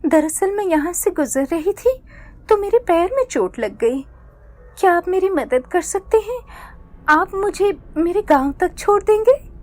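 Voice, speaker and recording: A woman's voice: very high voice, expressive, unhurried, a little mellow, pitched above the performer's own voice. A woman voicing a sweet young village girl in a cartoon story, coy, polite and pleading. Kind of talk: Hindi cartoon story acting.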